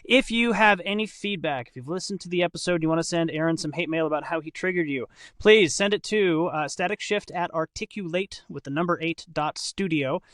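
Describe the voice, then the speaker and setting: A man's voice: on the high side, fairly quick, fairly bright tone, dry recording. A man hosting a casual group podcast, chatting in a jokey way and rephrasing as he goes. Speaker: podcast host.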